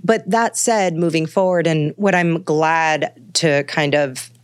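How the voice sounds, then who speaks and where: A woman's voice: sits low, fairly even intonation, takes it slowly, clean tone, no echo, clean studio sound. A woman author talking about her book as a podcast guest, thinking aloud in a meandering way with many fillers and restarts. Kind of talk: casual conversational interview.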